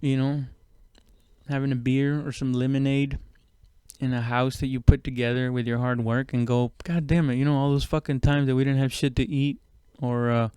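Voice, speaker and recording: A man talking in a casual, rambling podcast monologue, halting and conversational, with frequent swearing. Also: mid-range voice, flat delivery, dark tone, clear, resonant tone, dry recording. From immigrant, moved abroad at seven. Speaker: stand-up comedian podcast host.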